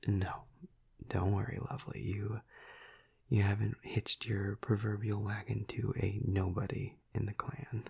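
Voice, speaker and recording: A flirty, protective male vampire, acted by a man, murmurs intimately to the listener in an audio roleplay. His low voice is very husky and breathy, thick with gravelly fry. He talks slowly and softly, with a hesitant, soothing manner. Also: level tone, exaggerated voice. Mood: reassuring, wry. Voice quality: breathy, gravelly half-whisper. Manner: slow, hesitant, soft, soothing.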